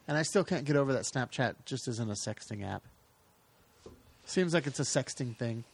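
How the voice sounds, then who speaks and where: A man's voice: medium pitch, expressive, moderate pace, gravelly, breathy edge. A man co-hosting a podcast in casual chat, skeptical and full of questions. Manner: skeptical, asks lots of questions. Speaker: podcast co-host.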